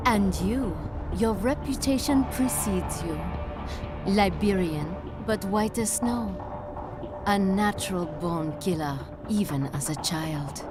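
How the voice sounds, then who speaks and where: Female mercenary villain, unhurried, seductive and taunting, video game cutscene, music behind. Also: medium pitch. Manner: theatrical, languid, taunting. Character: seductive, menacing female mercenary killer, half French, coolly taunting.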